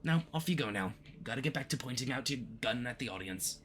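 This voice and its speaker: A man's voice: medium pitch, lively intonation, fast, a bit bright, slight background noise, close to an everyday voice. A man playing a smug but easily flustered, obsessive supervillain in a flirty, theatrical roleplay addressed to the listener, with awkward stammering slips. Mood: breezy, appreciative.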